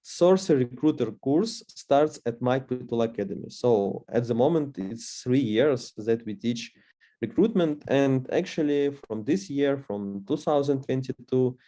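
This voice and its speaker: A man's voice: mid-range voice, animated, a little slow, warm tone. Man with a Russian accent, teaching. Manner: hesitant, many fillers and restarts. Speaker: course instructor. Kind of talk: webinar lecture.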